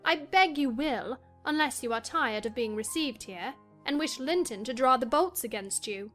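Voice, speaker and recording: A high-voiced, hot-tempered young mistress of the house played by an actress in a classic novel drama. Her commands swoop widely in pitch, haughty and sharp-tongued, over faint music. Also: animated, normal speed. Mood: angry.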